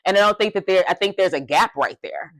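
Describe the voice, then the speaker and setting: A young adult woman co-hosting a podcast, talking in an emphatic, passionate way with a loud voice that carries. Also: medium pitch, fast, no room sound. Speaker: podcast co-host. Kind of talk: casual podcast discussion.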